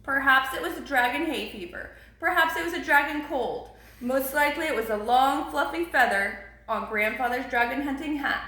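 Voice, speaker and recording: A woman reading a picture book aloud with expressive, emphatic storybook narration. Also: high voice, normal speed, few pauses, reverberant, low-quality recording.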